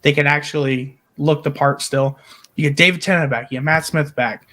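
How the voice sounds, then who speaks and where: A man co-hosting a podcast, excitedly pitching story ideas in casual, emphatic talk peppered with swearing. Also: moderate pace. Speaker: podcast co-host.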